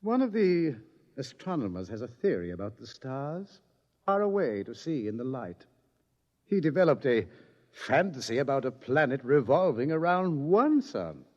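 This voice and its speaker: A calm lecturing astronomer. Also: male voice, medium pitch, normal speed. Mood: uneasy. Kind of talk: 1950s radio drama acting. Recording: muffled old radio broadcast with reverb.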